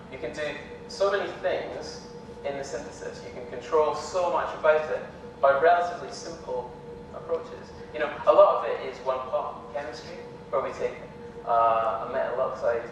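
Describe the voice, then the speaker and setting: A young Scottish man giving an academic lecture in a relaxed, hesitant way, full of ums. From Scotland. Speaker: visiting lecturer. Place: lecture hall. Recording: echoey hall from far off, with rumble.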